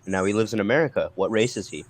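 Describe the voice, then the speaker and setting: A man with a fairly low voice debates in a casual group setting. He is methodical and challenging, picking his words haltingly, with some noise behind him.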